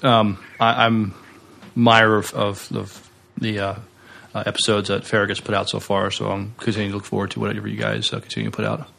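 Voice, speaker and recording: A man co-hosting a podcast, speaking in a halting, hesitant way. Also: lowish voice, flat delivery, gravelly, fairly bright tone. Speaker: podcast co-host.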